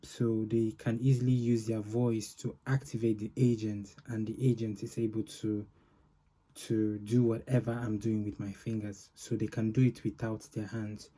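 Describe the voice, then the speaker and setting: Male developer for informal demo walkthrough: deep-leaning, very soft-edged dark tone, halting explanatory delivery. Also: level tone. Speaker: software developer presenting a demo.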